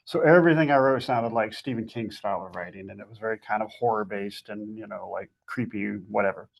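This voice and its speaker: Male, very gravelly and rough-edged, plain-spoken guest expert, conversational advice delivery with short emphatic summaries. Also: fairly low pitch, fairly quick, poor audio. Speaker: guest fiction author giving writing advice.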